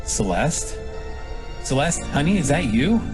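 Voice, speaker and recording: A man's voice: on the high side, very animated, unhurried, over music. A man playing a devoted, desperate starship captain in a sci-fi audio drama, earnest and pleading. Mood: anxious pleading. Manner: earnest, pleading, short commands.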